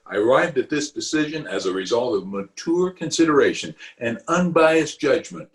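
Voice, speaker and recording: A pompous middle-aged uncle acted by a man in an old-time radio comedy. His mid-pitched voice swoops widely as he drags out indignant words. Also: very animated, close to an everyday voice. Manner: pompous, indignant, drawn-out delivery. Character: pompous, blustering middle-aged uncle, a small-town official. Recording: remote home recording over video call.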